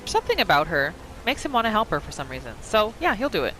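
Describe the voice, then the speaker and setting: A woman hosting a gaming video, giving expressive roleplay commentary as she plays. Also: medium pitch, fast, over music. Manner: animated, expressive reactions. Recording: voice over noisy game ambience. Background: video game ambience.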